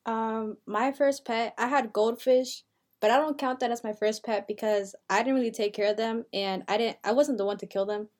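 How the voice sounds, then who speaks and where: A woman's voice: fairly high pitch, steady tone, moderate pace. An eighteen-year-old woman co-hosting a podcast, talking in a chatty, casual way with lots of "like" fillers. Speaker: podcast co-host, university freshman.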